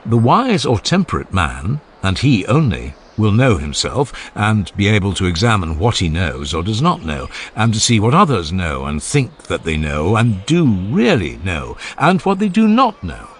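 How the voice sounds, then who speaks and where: A man's voice: low-pitched, wildly swooping pitch, a little slow, hardly any pauses, a little hiss or hum, full character voice. A man reading the part of an earnest, genial ancient philosopher who questions relentlessly, step by step, in a dramatized audiobook dialogue. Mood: probing. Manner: rhetorical, emphatic, step-by-step questioning.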